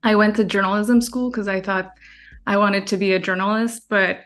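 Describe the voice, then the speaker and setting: A woman's voice: mid-range voice, steady tone, moderate pace, fairly bright tone. Chatty woman filmmaker. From France. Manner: chatty, many fillers and restarts, laughs easily. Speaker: documentary filmmaker, guest. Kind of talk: casual interview answers.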